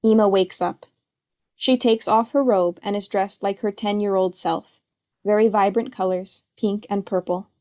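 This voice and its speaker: A woman's voice: mid-range voice, steady tone, normal speed, darkish tone. A woman reading stage directions as scripted, present-tense narration for a play.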